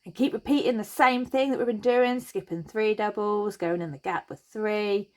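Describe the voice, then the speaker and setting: A woman hosting a crochet tutorial, chatty and reassuring as she talks through each step, her voice a little croaky. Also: mid-range voice, normal speed, darkish tone. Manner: chatty and reassuring, thinks aloud while working. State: slightly croaky voice.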